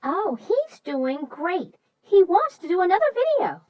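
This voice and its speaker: Woman doing a very high-pitched eager little sidekick. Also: very animated, measured pace, dry recording, muffled recording, heavily acted. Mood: eager, agreeable. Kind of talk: scripted banter introducing a story.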